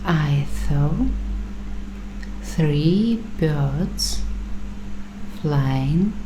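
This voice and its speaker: A woman plays a paranoid bunker-dwelling waitress in a secretive ASMR roleplay. Her low female voice is soft, often near a whisper, and extremely slow and deliberate. The recording has a roomy echo around her conspiratorial murmur. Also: background noise. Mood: ominous, urgent. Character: paranoid doomsday-prepper waitress interviewing a bunker roommate.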